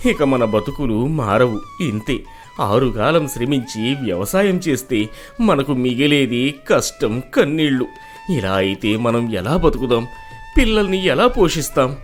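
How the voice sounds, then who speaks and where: Poor anxious farmer acted by a man for a story video: humble, weary, pleading, with wide pitch swings. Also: big pitch swings, unhurried, soft background music. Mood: despairing. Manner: pleading, sorrowful.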